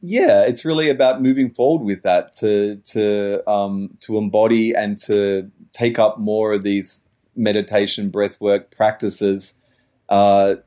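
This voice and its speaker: A man's voice: lowish voice, steady tone, a little slow, mellow. Wordy, reflective man as a podcast guest. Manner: wordy, searching, self-correcting. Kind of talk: reflective interview talk.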